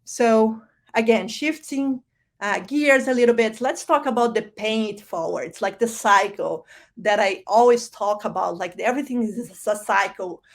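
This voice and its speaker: A woman's voice: a little high, a little slow. A woman from Brazil with a Brazilian Portuguese accent, giving a conversational conference keynote with frequent fillers and restarts. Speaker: keynote speaker.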